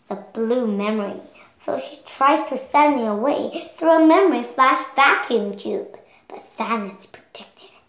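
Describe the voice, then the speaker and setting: Child narrator, girl of seven, high voice, slow careful storybook reading, roomy sound. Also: a bit bright. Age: child, 7 years old. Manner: careful, halting reading aloud. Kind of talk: read-along storybook narration.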